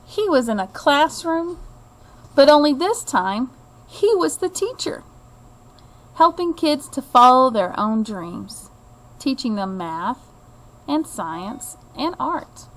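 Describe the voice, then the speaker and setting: A woman reading a children's picture book aloud in an expressive, deliberate way, like a teacher to a child. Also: sits high, poor audio. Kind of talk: children's storybook read-aloud.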